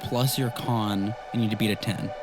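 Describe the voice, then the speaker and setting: A man's voice: on the low side, steady tone, moderate pace. A man at a tabletop game casually explaining the rules. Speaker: participant in a tabletop role-playing game. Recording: very loud music behind the voice.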